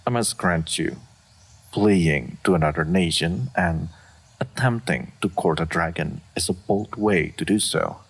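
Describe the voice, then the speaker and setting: A man playing an aloof dragon in human form, speaking formally and with deadpan reserve in a fantasy roleplay audio. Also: low voice, unhurried, husky, heavily acted. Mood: guarded, bluntly courteous. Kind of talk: scripted fantasy roleplay audio addressed to the listener.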